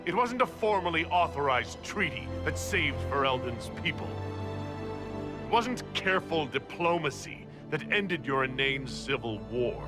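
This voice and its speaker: An actor playing a defiant, weary leader of a fantasy order in a video game, with earnest, emphatic delivery. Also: male voice, fairly high pitch, expressive, unhurried. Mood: resolute. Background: music.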